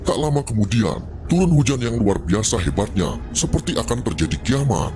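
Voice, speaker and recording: A man's voice: medium pitch, very animated, moderate pace, music in the background. A man reading a scripted narration in the style of legendary storytelling.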